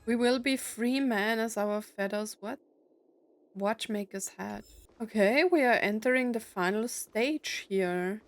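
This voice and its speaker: A woman's voice: a little high, a little slow. Young woman, casual gaming commentary. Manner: musing aloud, frequent "like", jokey asides. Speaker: gaming streamer. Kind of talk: casual let's play commentary.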